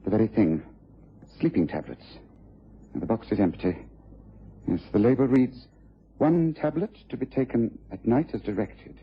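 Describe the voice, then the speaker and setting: An actor playing a shrewd, methodical English gentleman detective, precise and commanding, in a mid-century radio drama style. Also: fairly low pitch, lively intonation, moderate pace, long pauses, slightly rough. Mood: absorbed, investigative. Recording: dull, muffled vintage radio recording.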